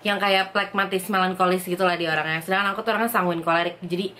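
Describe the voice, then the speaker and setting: A woman's voice: lowish voice, a little hiss or hum. A young woman from Indonesia chatting casually as a vlogger, reading out viewer questions and answering them at home. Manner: lively, chatty, quick bursts, reads questions aloud. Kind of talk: casual chat.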